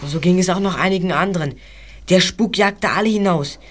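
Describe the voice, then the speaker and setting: An actor playing Bob, a studious boy detective, reporting in a factual, measured storytelling manner in an audio drama. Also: high voice, a bit bright. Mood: informative.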